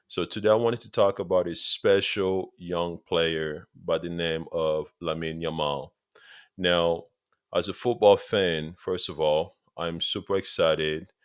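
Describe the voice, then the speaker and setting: Deep-voiced man with a Congolese accent. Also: smooth, dry recording, clean studio sound. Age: 30s. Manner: eager, talks straight to viewers, repeats points for emphasis. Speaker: football vlogger. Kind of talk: casual opinion vlog.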